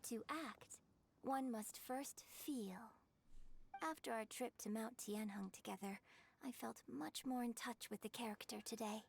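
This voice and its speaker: A woman's voice: a little high, measured pace, vocal fry. An actress voicing a refined, gracious young woman opera performer in a video game, with formal, poised speech. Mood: earnest, grateful. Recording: faint background noise.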